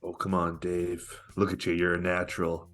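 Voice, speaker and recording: Guy doing a smug pushy pickup coach, low voice, salesman vibe, comedy read, faint music. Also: monotone, normal speed, fairly bright tone. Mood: persuasive, pushy. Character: smug, pushy male pickup-artist guru. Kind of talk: comedic live table read.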